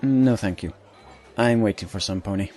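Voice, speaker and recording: A man playing a weary, earnest pony spy recording an audio journal in a measured, wistful way for an audio drama. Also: on the low side, darkish tone, natural voice. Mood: curt. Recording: roomy, distant-miked journal recording.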